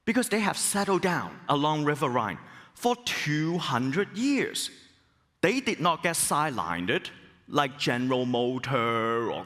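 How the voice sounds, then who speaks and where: A middle-aged man from Hong Kong, a business school professor, giving an animated keynote talk with rhetorical questions and exclamations. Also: fairly high pitch. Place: large conference hall. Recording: long hall reverb.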